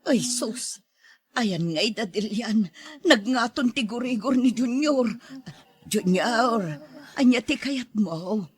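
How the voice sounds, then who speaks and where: A woman's voice: on the high side, animated, takes it slowly. A woman with a Filipino accent playing a brusque older auntie minding a child in a radio drama. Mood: worried.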